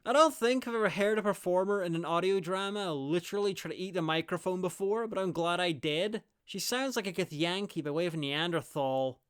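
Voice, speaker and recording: A man's voice: high voice, a little fast, few pauses, a bit bright, smooth. A man narrating a scripted review in a wry manner.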